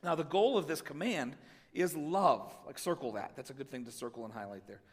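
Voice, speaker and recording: A male pastor with a head cold, slightly raspy, giving a conversational sermon and reading scripture with asides to the congregation. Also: fairly high pitch, brisk, long reverb tail.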